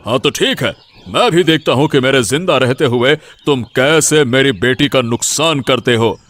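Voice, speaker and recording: A man's voice: medium pitch, normal speed, a bit bright, natural voice. A man playing a principled old village father in a story, speaking earnestly and emphatically. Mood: indignant, defiant. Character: worried, principled old village father, indignant when threatened. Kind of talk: Hindi audio story dramatic acting.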